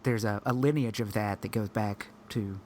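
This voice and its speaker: A man co-hosting a podcast, talking informally and a little haltingly in casual discussion. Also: on the low side, fairly even intonation, darkish tone, slight background noise. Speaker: podcast co-host.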